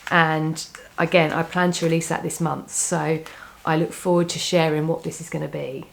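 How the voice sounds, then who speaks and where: A woman with a cold, sounding a little croaky, chatting casually to camera about her knitting plans, rambling with frequent restarts. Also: low voice, little pitch movement, moderate pace. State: has a cold, slightly croaky. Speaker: knitting vlogger.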